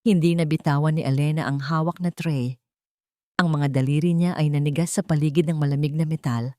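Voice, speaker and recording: A woman narrating a dramatic love story in a measured, solemn way. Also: low voice, steady tone, mellow, dry recording, clean studio sound.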